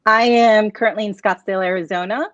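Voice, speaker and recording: A man's voice: high voice, fairly even intonation, fairly quick, a bit bright, no room sound. A man from Puerto Rico speaks as a guest coach, earnest and running his thoughts together in long sentences. His audio is dull and lo-fi, with a dry, close sound. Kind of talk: casual interview answers.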